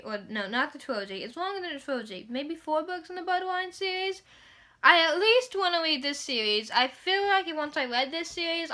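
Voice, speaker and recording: A woman's voice: high-pitched, bright, forceful tone. A young woman chatting casually as a book vlogger, with a gushing, emphatic fangirl delivery and informal, slangy wording. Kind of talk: casual book chat to camera.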